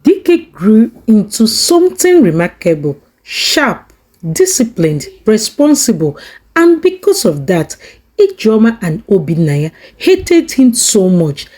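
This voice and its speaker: A woman narrating a scripted folktale in a measured, dramatic storytelling manner. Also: medium pitch, very animated, a little mellow, no echo.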